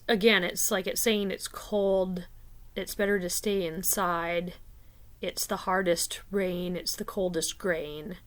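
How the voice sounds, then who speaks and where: Canadian woman talking slow, lots of ums and likes, kinda thinking out loud. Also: medium pitch. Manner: unhurried, hesitant, many fillers like "um" and "like". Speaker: video creator discussing rune poems. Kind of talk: casual spoken commentary.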